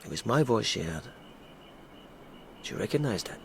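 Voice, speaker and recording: An actor in a radio drama playing an earnest, reticent police lieutenant who speaks in a quiet, measured way. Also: male voice, fairly low pitch, big pitch swings, gravelly, husky, heavily acted. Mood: hesitant, tender.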